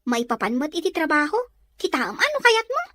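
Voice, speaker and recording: A woman's voice: high-pitched, sing-song, a little fast, a bit bright. A woman with an Ilocano accent playing a plaintive, practical younger sister in a Filipino radio drama. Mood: complaining, concerned.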